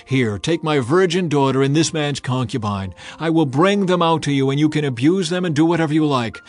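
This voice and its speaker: A man's voice: fairly high pitch, fairly quick, darkish tone, pitched above the performer's own voice, natural voice. A man voicing a hospitable, protective old man who speaks with earnest emphasis in a dramatized scripture reading. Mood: urgent pleading. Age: older. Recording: music bed under the voice.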